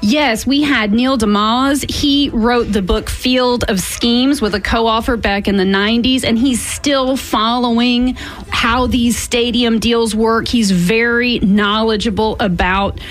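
A woman's voice: fairly high pitch, fairly even intonation, takes it slowly. Articulate woman guest on a radio show. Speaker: guest co-host. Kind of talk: radio studio conversation. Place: radio studio.

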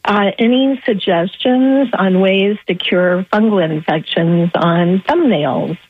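Hoarse woman caller on a tinny phone line. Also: mid-range voice, takes it slowly. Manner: polite and grateful. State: losing her voice.

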